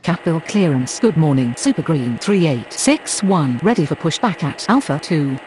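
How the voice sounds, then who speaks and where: A woman's voice: low voice, big pitch swings, moderate pace, a little mellow, rough recording. A woman speaking clipped, scripted aviation radio phraseology in an air traffic control game.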